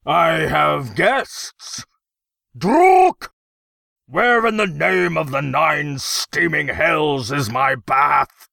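A man's voice: on the high side, expressive, creaky, bright, forceful tone, no echo, clean studio sound, voice pushed up, heavily acted. A man voicing an ugly, pompous gnome emperor who rants furiously and draws out his insults in a storybook reading. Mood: imperious anger. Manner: bellowing, ranting, drawn-out insults.

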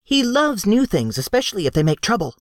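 A man voicing a brisk, bossy little female gnome with a high, squeaky put-on voice. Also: big pitch swings, no echo, studio quality. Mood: scheming. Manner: firm, bustling.

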